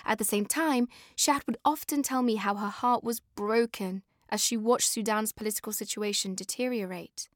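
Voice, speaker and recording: A British woman narrating a scripted podcast as host, in an expressive, conversational way that speaks straight to listeners. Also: mid-range voice, normal speed, few pauses, dry recording, clean studio sound. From born in Afghanistan, moved to the UK.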